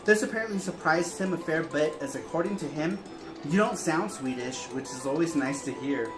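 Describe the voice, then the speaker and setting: A man's voice: fairly high pitch, moderate pace, reverberant, music in the background, low-quality recording. A man co-hosting a show, chatting casually, laughing often and reading long viewer letters aloud. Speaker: co-host reading viewer answers.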